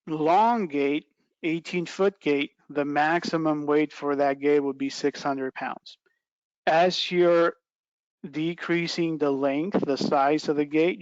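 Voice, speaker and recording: A man's voice: a little high, no echo, muffled recording. A middle-aged man giving an informal product presentation on a video call, explaining step by step and checking in with "right" and "okay". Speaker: product trainer presenting a webinar. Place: small plain indoor room, video call.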